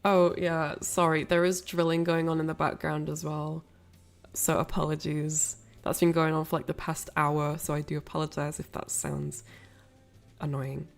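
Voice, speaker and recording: A woman's voice: deep voice, flat delivery, normal speed, creaky, faint music behind. A woman hosting a casual livestream, rambling and apologetic, sniffly with a cold as she chats to viewers. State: ill with a cold, sniffly, coughing. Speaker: livestream host.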